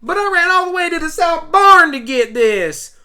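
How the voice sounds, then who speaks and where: Man as little country girl pony, high-pitched, chirpy, excitable, forceful, amateur audio drama. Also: normal speed, heavily acted. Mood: eager, excited. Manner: excitable, folksy. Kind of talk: amateur radio play acting.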